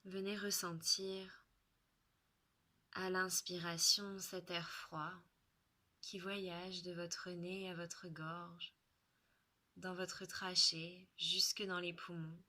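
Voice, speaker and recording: A woman guides a relaxation at a very slow, soothing pace, leaving long pauses. Her voice sits slightly low yet is clearly bright, with soft, breathy endings to her phrases. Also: level tone.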